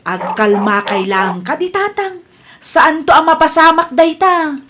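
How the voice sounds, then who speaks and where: High-voiced, stubborn Ilocano daughter. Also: female voice, expressive, a little slow. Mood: dismissive. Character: breezy, stubborn village daughter, dog owner. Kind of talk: radio comedy drama acting. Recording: clear noise of barking dog. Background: dog barking.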